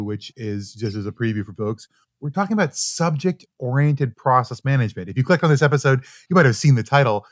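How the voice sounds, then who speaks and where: A man's voice: mid-range voice, dry recording, studio quality. A man from Canada hosting a podcast, chatty and upbeat. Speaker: podcast host. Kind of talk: podcast interview.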